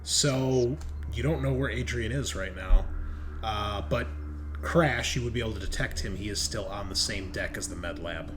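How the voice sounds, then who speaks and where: A man with a fairly low voice acts as game master for a tabletop roleplaying session. He improvises narration in a casual, conversational manner. A little background noise sits behind him. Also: moderate pace, a bit bright. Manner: casual, conversational, occasional swearing.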